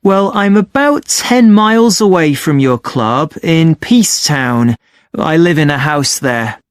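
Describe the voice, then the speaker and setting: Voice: medium pitch, lively intonation, unhurried, clear, resonant tone, no echo, clean studio sound, natural voice. An actor playing a polite young amateur photographer, explaining things a little hesitantly in a scripted listening-test dialogue.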